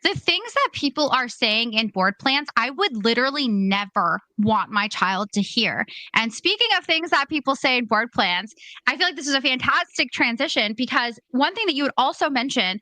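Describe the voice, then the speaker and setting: Bright high-voiced woman podcast host. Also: no room sound, low-quality recording. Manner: blunt, opinionated. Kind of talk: casual podcast chat.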